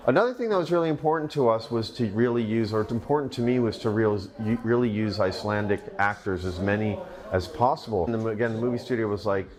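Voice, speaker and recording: A man's voice: fairly low pitch, fast, crowd noise. A man, a film director, telling behind-the-scenes stories in an interview, enthusiastic and rambling with frequent restarts. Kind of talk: interview, storytelling anecdotes.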